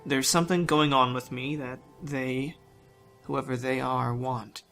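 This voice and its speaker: A man's voice: mid-range voice, steady tone, a little slow, fairly bright tone, soft background music. A man acting a frank, casual teenage boy in a zombie apocalypse audio drama. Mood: earnest, casual. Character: frank, foul-mouthed teenage boy with secret.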